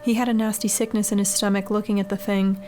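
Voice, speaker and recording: A woman's voice: mid-range voice, little pitch movement, quick pace, dark tone. A woman reading a scary short story as a scripted audiobook-style narration. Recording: clearly noisy background ambience.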